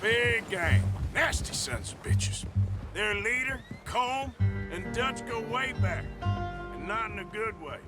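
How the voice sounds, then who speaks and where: A man playing a gruff, sardonic middle-aged American outlaw in a western video game, with a drawling delivery. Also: big pitch swings, takes it slowly, exaggerated voice. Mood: reflective. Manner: dry, sardonic. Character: gruff, wry outlaw gunman in the Old West. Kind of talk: video game cutscene acting. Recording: loud music bed, vehicle-like rumble.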